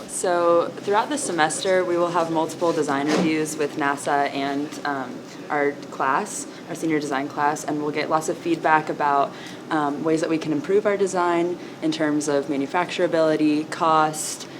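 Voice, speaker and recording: A young woman engineering student calmly explaining the design review process in a university lab. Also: deep voice, moderate pace, background noise. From United States. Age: young adult. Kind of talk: casual explanation.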